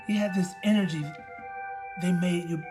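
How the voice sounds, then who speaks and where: An actor playing a tender, plainspoken young man giving a halting eulogy for a friend in an audio drama. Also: sits high, fairly even intonation, lightly acted. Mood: earnest, reflective. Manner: halting, searching for words. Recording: music under the voice. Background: music.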